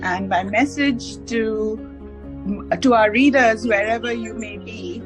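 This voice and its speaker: A woman from Washington DC giving a slow, deliberate message to readers. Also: on the high side, rough recording. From Washington DC, USA. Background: background music.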